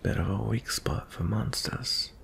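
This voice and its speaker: A man playing a gentle, merciful young prince in an intimate ASMR fantasy roleplay, soft-spoken and hesitant, often slipping into whispers. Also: fairly low pitch, measured pace, vocal fry. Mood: apologetic, gentle. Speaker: audio roleplay performer.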